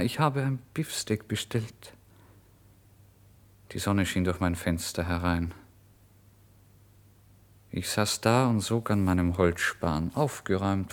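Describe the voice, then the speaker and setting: Male actor as proud, starving young writer, erratic and giddy, low voice, theatrical first-person radio drama monologue. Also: close to an everyday voice. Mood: delirious, rapturous. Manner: theatrical, volatile, swinging between hushed and exclaiming.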